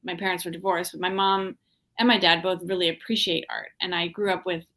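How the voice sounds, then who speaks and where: A woman from the Washington, D.C. area talks as an artist guest in a casual interview. Her voice sits a little low, and she speaks reflectively, pausing often with ums while she searches for words. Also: flat delivery, a bit bright. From Washington, D.C. area, USA. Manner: reflective, hesitant, many ums.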